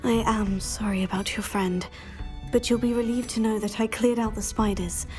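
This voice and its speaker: A woman's voice: medium pitch, fairly even intonation, moderate pace, darkish tone, faint music behind, close to an everyday voice. A young woman playing a polite, composed teenage witch student with a British accent in a video game. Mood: polite, reassuring. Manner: courteous, composed. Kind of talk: video game character dialogue.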